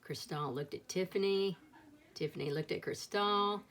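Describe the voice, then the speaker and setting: A woman's voice: on the low side, moderate pace, a bit bright. A woman reading a children's picture book aloud as a storyteller, expressively acting out the dialogue.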